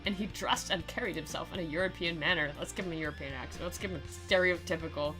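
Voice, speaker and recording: A woman's voice: on the low side, quick pace. A woman doing a playful, theatrical read-aloud of a visual novel, mixed with sarcastic commentary. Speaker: game commentator and dramatic reader. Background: music.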